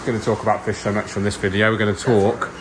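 A man's voice: on the low side, flat delivery, fast talker. Guy vlogging a shop tour, chatty and upbeat, camera mic, noisy background hum. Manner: enthusiastic, chatty. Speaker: aquascaping channel host. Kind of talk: casual vlog tour. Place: aquarium shop indoors.

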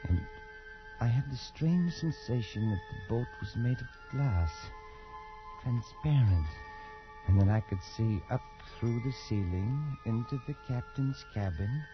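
A man playing a haunted, unravelling colonial adventurer telling his tale with intense, halting pauses in a post-war radio drama. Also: mid-range voice, lively intonation, close to an everyday voice. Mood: haunted, brooding. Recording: dull vintage radio with loud music behind.

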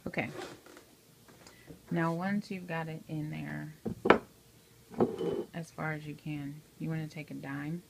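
Low, gravelly-voiced woman, casual tutorial. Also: level tone, takes it slowly, long pauses. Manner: informal, checks in often with "okay". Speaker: amateur how-to video maker. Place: home kitchen, indoors at the stove. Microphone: handheld phone behind the camera. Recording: noisy handheld home recording, clicks.

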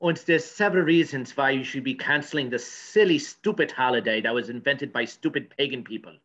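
A man's voice: medium pitch, a little fast, a bit bright, highs cut off, natural voice. A man playing a cranky, pompous professor in a comedy news sketch, rambling and stammering. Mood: indignant. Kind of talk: improvised mock news interview comedy.

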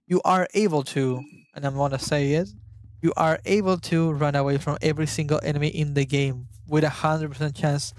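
A man's voice: on the high side, slight background noise. A young man, chatting casually through a gameplay guide and sometimes hunting for words. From Argentina. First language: Spanish. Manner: chatty, searches for words, swears at the game. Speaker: gaming YouTuber.

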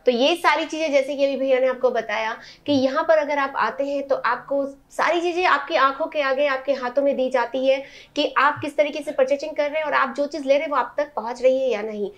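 A woman's voice: high voice, fairly quick, hardly any pauses, a bit bright, no echo. Chatty young woman showing off sarees. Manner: chatty, enthusiastic, addresses viewers directly. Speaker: host presenting sarees. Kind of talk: casual product showcase. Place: indoors in a saree shop.

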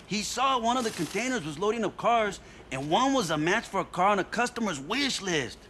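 An actor playing an eager young street hustler briefing about a job in slang. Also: male voice, sits high, big pitch swings, brisk, pushed and bright, a little hiss or hum, heavily acted.

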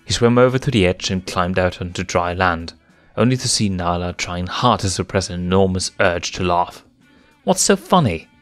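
A man narrating a fan story in a steady, measured reading voice. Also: low-pitched, no room sound, faint music behind. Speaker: fanfiction reader.

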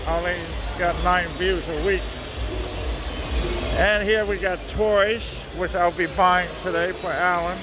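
A man's voice: high voice, fairly even intonation, slow pace, music in the background. A man casually narrating a walk through a busy shopping mall on a handheld phone camera, joking and rambling as he goes. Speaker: vlogger. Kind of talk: casual walking vlog. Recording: noisy mall ambience.

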